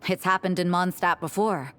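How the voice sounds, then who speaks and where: An actress playing a worldly, irreverent young church sister in a video game, cool, knowing and wry. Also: female voice, fairly low pitch, normal speed, a bit bright, soft background music, natural voice. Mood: explaining, knowing.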